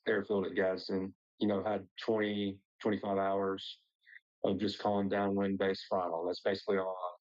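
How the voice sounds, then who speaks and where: Low-pitched man telling a casual story. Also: monotone, normal speed, darkish tone, highs cut off. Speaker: pilot, discussion participant.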